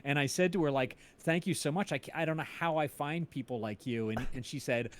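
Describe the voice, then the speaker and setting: A man chatting casually in an interview as an audio drama creator, telling animated anecdotes and often checking in with tag questions. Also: fairly high pitch, brisk, slight background noise. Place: indoors, on a group video call.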